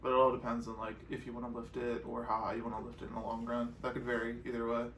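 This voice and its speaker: Flat-toned American man, echoey recording. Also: mid-range voice, fast. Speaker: off-road vlog co-host. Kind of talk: casual question-and-answer chat. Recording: roomy echo with some background noise.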